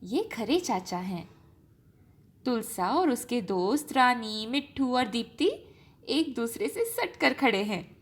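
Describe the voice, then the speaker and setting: A woman with a high voice tells a children's story. She goes slowly and expressively, with wide swings of intonation that give the telling drama. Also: lively intonation, breathy edge.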